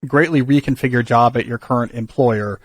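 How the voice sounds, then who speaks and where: A man's voice: medium pitch, steady tone, a little fast, no room sound. A man hosting a call-in advice show, talking through ideas thoughtfully with frequent restarts. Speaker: podcast host giving career advice.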